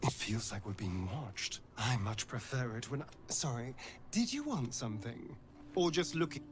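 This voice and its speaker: A man's voice: mid-range voice, big pitch swings, normal speed, noisy background, close to an everyday voice. A man playing an arch, teasing adventurer companion in a video game. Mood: wary, teasing. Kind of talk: video game character dialogue.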